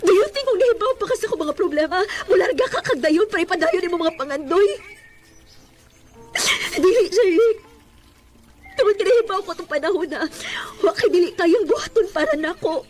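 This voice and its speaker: A woman's voice: very high voice, expressive, fairly quick, a little mellow, faint music behind. An actress with a Cebuano Filipino accent playing a proud, wounded single mother, pleading and emotional, slipping into English in a radio drama. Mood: bitter, tearful. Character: proud, wounded single mother who hid her child's father. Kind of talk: Bisaya radio drama acting.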